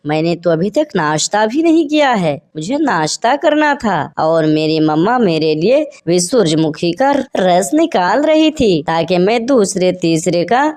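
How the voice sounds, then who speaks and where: A woman's voice: medium pitch, darkish tone. Woman acting a demanding, grumbly little flower fairy. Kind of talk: animated children's story voice acting.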